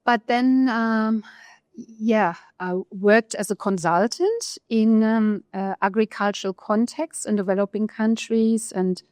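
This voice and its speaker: A woman with a German accent, a research economist telling her career story in an interview, pausing often with fillers. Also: a little high, fairly even intonation, darkish tone, dry recording. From East Germany. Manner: thoughtful, pauses often with fillers.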